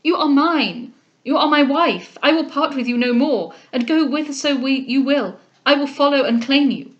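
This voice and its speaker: An impassioned young gentleman lover, played by a woman narrator, pitched fairly high. The delivery is vehement and imploring, full of urgent exclamations, as in a dramatic storybook reading. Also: normal speed, dull audio, close to an everyday voice. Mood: desperate, possessive. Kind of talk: dramatic audiobook character reading.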